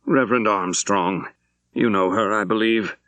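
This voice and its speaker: A man voicing a troubled senior bishop in an audiobook, speaking in a formal, hesitant, deliberate way. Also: fairly low pitch, fairly bright tone, no echo. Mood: careful, troubled.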